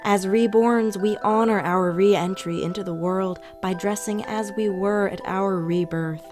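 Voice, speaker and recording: A serene, preachy female cult facilitator played by a woman in a radio drama. The delivery is beatific and formal in a medium pitch, with music clearly behind it. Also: steady tone, a little slow, lightly acted. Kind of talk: radio play acting.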